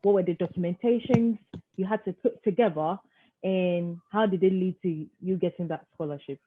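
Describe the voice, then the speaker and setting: A woman with a fairly low voice moderates an online panel, speaking haltingly and piling up questions. She comes through a thin, phone-like call line. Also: steady tone, a little hiss or hum. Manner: halting, stacks several questions at once. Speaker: webinar moderator. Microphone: video call.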